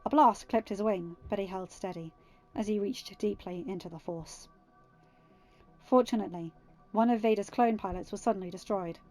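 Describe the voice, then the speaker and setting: A woman's voice: mid-range voice, normal speed, mellow, soft background music. A woman reading scripted fan fiction narration as an audiobook reader.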